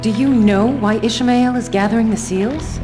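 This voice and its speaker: An actress playing a young, dutiful and stubborn sorceress leader in a fantasy game, with a solemn, firm, formal delivery. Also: female voice, a little high, moderate pace, natural voice. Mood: solemn, resolute. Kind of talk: dramatic fantasy game cutscene acting. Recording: game music behind the voice.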